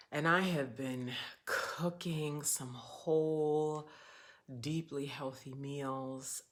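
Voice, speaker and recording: A woman talking slowly and deliberately on a livestream as a spiritual teacher, with some passages dropped to a soft whisper. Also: a bass voice. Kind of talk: livestream monologue. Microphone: webcam or phone on camera.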